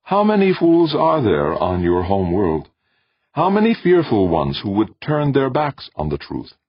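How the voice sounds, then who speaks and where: A man voicing a calm, ancient alien being who speaks in a flat, intoning way. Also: medium pitch, measured pace, breathy edge, pitched above the performer's own voice, exaggerated voice. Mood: solemn. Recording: dull dry recording.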